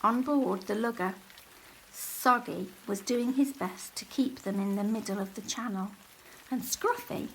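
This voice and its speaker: A woman reading a children's picture book aloud as a teacher, in a measured, expressive storytelling manner. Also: mid-range voice, slight background noise, low-quality recording. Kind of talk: storybook read-aloud, scripted narration.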